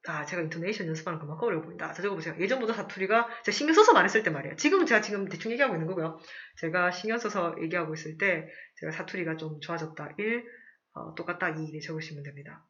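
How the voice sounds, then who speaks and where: A teacher chats casually through a live online class, stopping often to clear their throat and filling pauses as they go. Example sentences come out slow and deliberate. The voice sits at a middle pitch, and the audio is slightly dull and lo-fi. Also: a bit bright. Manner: frequent throat-clearing, fillers, reads example sentences aloud slowly. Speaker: English conversation teacher.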